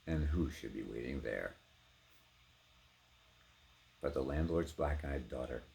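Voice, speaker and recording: A man giving a theatrical, intense dramatic recitation of poetry, swinging between hushed delivery and full-throated cries. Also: extremely low pitch, slow pace, creaky, a little hiss or hum. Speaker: poetry performer.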